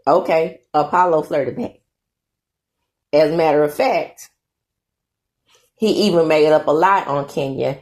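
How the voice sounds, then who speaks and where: A woman's voice: low-pitched, a little mellow. A woman hosting her own commentary channel, giving her opinions in a chatty, emphatic way and tagging her points with "okay?".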